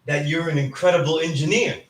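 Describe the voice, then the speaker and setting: A man's voice: fairly high pitch, fairly even intonation, fairly quick. A man lecturing informally to a class, emphatic and often checking in with "right?". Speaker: teacher. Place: indoor classroom. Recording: echoey room, distant mic, background noise.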